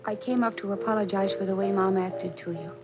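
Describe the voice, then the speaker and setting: Actress as polite young lady, quick, muffled radio. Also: mid-range voice, steady tone, lightly acted. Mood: polite, apologetic. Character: polite, gentle daughter of a landlady. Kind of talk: 1940s radio mystery acting. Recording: muffled old radio recording. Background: music.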